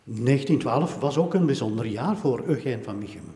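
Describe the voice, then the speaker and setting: A man's voice: mid-range voice, lively intonation, normal speed, dark tone. A man, putting prompts and comments as an interviewer in an echoey room. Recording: echoey room with long reverb.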